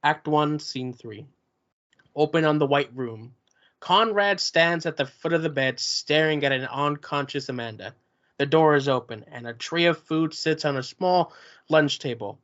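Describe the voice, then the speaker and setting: A man reading stage directions at a table read, in a plain, even way with small stumbles. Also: a little high, a little slow. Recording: muffled lo-fi recording.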